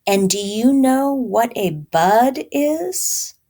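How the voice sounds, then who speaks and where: A woman's voice: fairly high pitch, expressive, drawn-out words, warm tone, full character voice. A woman reading aloud as a firm, loving mother who lectures her young son insistently in a storybook. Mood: tender, insistent. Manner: emphatic, insistent.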